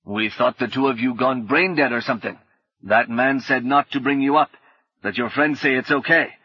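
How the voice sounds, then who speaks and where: A man reading an audiobook, voicing a gruff, disapproving father. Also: mid-range voice, moderate pace, no echo, highs cut off, close to an everyday voice. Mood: grumbling. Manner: gruff, complaining. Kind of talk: audiobook character voice.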